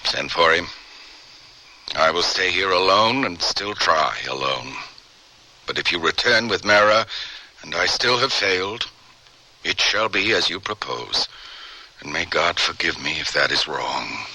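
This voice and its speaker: An actor playing an anguished, stubborn grieving scientist in a radio drama, with halting, intense delivery. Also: male voice, deep voice, animated, bright, forceful tone, full character voice. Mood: grieving resolve. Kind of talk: American radio drama acting. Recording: faintly noisy radio drama recording.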